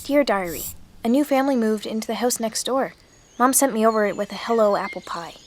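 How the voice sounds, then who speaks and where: A woman's voice: fairly high pitch, fairly quick, a little hiss or hum, close to an everyday voice. A woman playing an earnest, insecure girl of twelve confiding in her diary in a radio drama. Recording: music under the voice.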